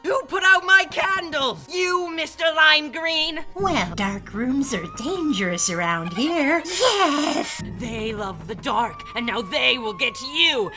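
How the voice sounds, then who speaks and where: A woman voicing a menacing, vengeful spirit in a game dub, with theatrical, drawn-out taunting and cackling. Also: sits high, big pitch swings, pushed and bright, faint music behind, full character voice. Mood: indignant.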